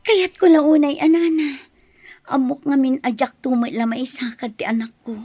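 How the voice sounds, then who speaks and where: A woman's voice: sits high, steady tone, normal speed, warm tone, no room sound, lightly acted. An actress with an Ilocano accent playing a meek, distraught pregnant young woman in an audio drama, plaintive and pleading.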